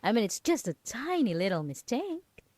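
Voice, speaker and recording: A woman's voice: a little high, big pitch swings, normal speed, darkish tone, no echo. A woman playing a possessive, mocking yandere roommate in an ASMR roleplay, teasing and condescending as she lingers on her words. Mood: feigned innocence. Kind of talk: dramatic ASMR roleplay monologue.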